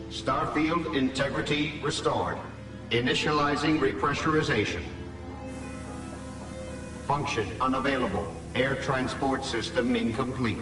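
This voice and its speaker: A man voicing an impassive spaceship computer in a video game, announcing in formal, clipped phrases. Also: medium pitch. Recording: echoey, distant ship announcement over music.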